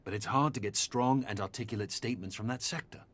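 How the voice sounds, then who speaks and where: A man playing a measured, earnest researcher who speaks articulately and deliberately in a conversational audio drama. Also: medium pitch, a bit bright, natural voice. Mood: analytical. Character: measured, earnest male researcher debating philosophy.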